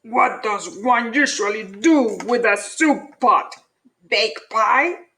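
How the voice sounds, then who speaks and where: A woman voicing a scruffy, nasty-tempered male varmint, gruff and bossy, in a children's storybook. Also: on the high side, takes it slowly, sharp tone, slight background noise, exaggerated voice. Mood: unkind, sarcastic.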